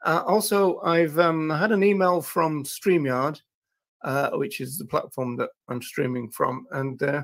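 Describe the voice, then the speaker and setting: An older man hosting a casual livestream, talking to viewers in a hesitant, halting way with drawn-out pauses. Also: fairly high pitch, fairly even intonation, gravelly, a bit bright. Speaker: livestream host. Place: small indoor room at a desk.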